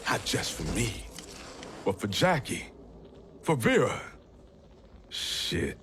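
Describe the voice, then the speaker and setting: A man's voice: medium pitch, big pitch swings, takes it slowly, background noise. An actor playing an embittered, battle-scarred American soldier and protective father in a video game, speaking in a blunt, colloquial way. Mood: anguished, bitter. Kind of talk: dramatic video game acting.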